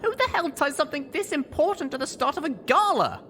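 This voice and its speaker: A man's voice: very high-pitched, big pitch swings, brisk, pushed and bright, a little hiss or hum, pitched above the performer's own voice, heavily acted. A man voicing an earnest, exasperated young female pony in an audiobook, with an emphatic, incredulous manner. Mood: outraged disbelief. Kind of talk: acted audiobook dialogue.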